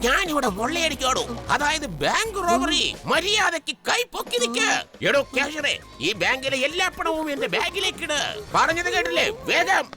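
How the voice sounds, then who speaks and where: A super high voiced cartoon bank robber, bossy n threatening, barking orders, loud music behind. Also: male voice, lively intonation, normal speed. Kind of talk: exaggerated children's cartoon dubbing.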